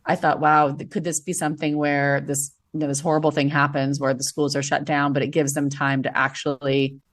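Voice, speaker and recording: A woman with a low, grounded voice speaks in a casual podcast conversation. She is blunt and emphatic, pressing each point firmly. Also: level tone, a little fast. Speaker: podcast guest, former teacher.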